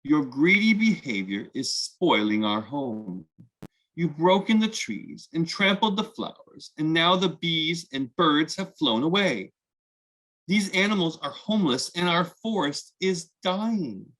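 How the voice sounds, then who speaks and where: A man's voice: a little high, very animated, unhurried, fairly bright tone, exaggerated voice, rough recording. A man voicing a brave young girl in a children's storybook, earnestly pleading for her forest.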